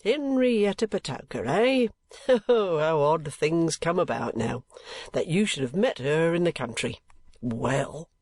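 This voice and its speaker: A man voicing a pompous, excitable middle-aged man who bursts into wrathful outbursts, in a storybook reading. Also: a little high, a little slow, breathy edge, dry recording. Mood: fussy, pleased.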